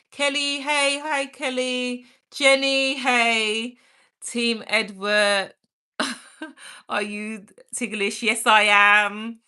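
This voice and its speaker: A woman's voice: medium pitch, bright, forceful tone. A middle-aged woman with a British accent, hosting a livestream and chattily reading and answering viewer comments aloud. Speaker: livestream host. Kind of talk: reading and answering live chat.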